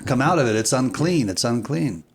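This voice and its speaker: A man speaking as an interview guest, reflective and deliberate, often pausing and repeating phrases for emphasis. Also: medium pitch, lively intonation, slight background noise. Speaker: podcast guest, filmmaker.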